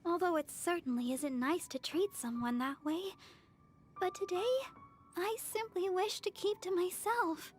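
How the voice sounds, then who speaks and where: A woman voicing a gentle, modest young church healer girl in a video game, earnest and soft-spoken. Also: very high voice, unhurried, soft background music. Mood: modest, reflective.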